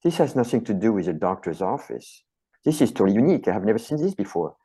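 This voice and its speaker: A man's voice: fairly low pitch, big pitch swings, fast talker, warm tone, breathy edge, poor audio. A middle-aged man with a French accent, a chiropractor and author answering interview questions earnestly and passionately, often stacking phrases into lists.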